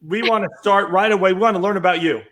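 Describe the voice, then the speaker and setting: A man's voice: high voice, fast talker, a bit bright. A man hosting an interview, upbeat and chatty with plenty of fillers. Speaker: host, real estate business owner.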